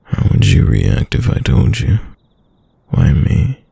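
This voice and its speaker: A man playing a devoted, soft-spoken boyfriend in an intimate late-night roleplay ASMR, murmuring tenderly and musingly in a deep, gravelly, breathy voice. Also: very animated, slow pace, no room sound, heavily acted. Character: devoted soft-spoken boyfriend whispering to his sleeping lover.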